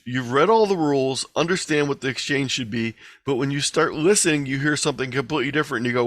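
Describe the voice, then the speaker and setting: A man's voice: medium pitch, fairly bright tone, dry recording. Dry-sounding man teaching step by step. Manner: instructional, plain-spoken, step by step. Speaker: instructor, presenter. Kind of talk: explanatory slide lecture, lightly extemporized. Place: online video-call webinar. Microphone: video call microphone.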